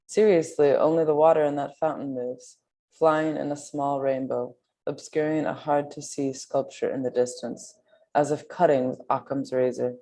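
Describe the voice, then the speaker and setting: A woman's voice: very deep voice, fairly even intonation, normal speed, mellow. A woman reading translated poetry aloud at a literary reading.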